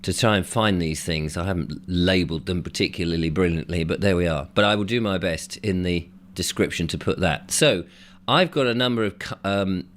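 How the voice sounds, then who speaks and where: A man hosting an interview, croaky from a sore throat, chatting with loose rambling asides. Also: sits low, brisk, a bit bright, slight background noise. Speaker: host.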